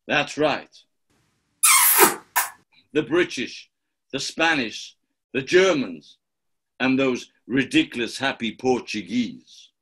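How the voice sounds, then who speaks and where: A man playing a pompous, gloating gangster boss with a gravelly voice in a radio play. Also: mid-range voice, animated, fairly bright tone, exaggerated voice. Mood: smug, menacing. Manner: slow, grandiose, theatrical. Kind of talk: 1940s-style radio play acting.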